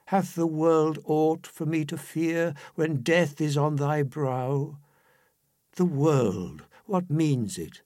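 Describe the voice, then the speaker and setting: A man's voice: a little high, slow pace, warm tone, no room sound, clean studio sound, voice pushed up. A man voicing a devoted, anguished noblewoman in an impassioned, declamatory verse recitation. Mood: pleading, defiant. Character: devoted, anguished noblewoman pleading to stay with her dying husband.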